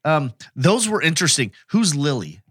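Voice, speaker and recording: A man co-hosting a podcast in casual chat, with halting phrasing. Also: fairly high pitch, sing-song, fairly bright tone, breathy edge, no room sound, studio quality. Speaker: podcast co-host.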